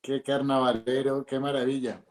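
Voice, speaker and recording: A man's voice: mid-range voice, little pitch movement, normal speed, echoey room. A male host speaking effusively and admiringly to his guest, with hesitant restarts. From Italy. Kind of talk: casual interview.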